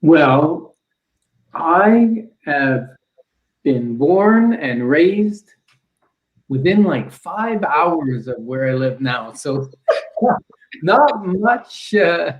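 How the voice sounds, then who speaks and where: Slow-talking Canadian man in echoey room. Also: a little high, sing-song, lo-fi sound. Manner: modest, self-deprecating with laughs. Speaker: panelist. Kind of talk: casual self-introduction.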